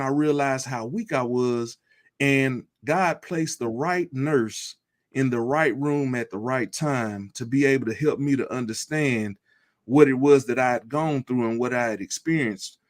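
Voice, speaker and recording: Earnest man giving personal testimony. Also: mid-range voice. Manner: earnest, deliberate, reflective. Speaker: guest telling his recovery story.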